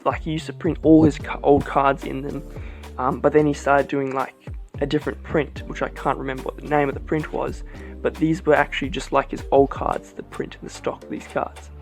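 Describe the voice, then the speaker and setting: A man casually reviewing a deck of cards as a channel host, relaxed and rambling with many fillers. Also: mid-range voice, brisk, soft background music. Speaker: playing card reviewer. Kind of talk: casual vlog product review.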